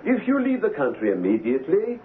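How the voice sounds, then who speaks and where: Scheming, polite villain, high and extremely animated intonation, vintage radio drama. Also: male voice, a little fast, narrow, tinny audio. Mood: suave, menacing. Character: smooth, scheming villainous henchman offering a bribe. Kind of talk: 1970s radio drama acting. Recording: thin, noisy old radio broadcast.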